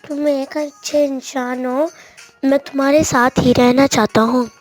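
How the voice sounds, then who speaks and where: A performer voicing a small, loyal, kindly talking bird with a squeaky, drawn-out voice in a storybook tale. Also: level tone, faint music behind. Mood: grateful, gentle.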